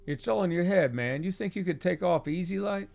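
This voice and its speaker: A male narrator voicing an excitable young man who keeps saying man and dude in an audiobook. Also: sits high, brisk, gravelly, voice pushed up. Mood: excited.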